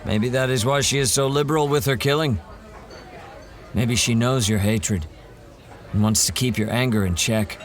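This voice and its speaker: An actor playing a wry, self-assured monster hunter in an audio drama, calm and measured. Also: male voice, medium pitch, a faint crowd, close to an everyday voice.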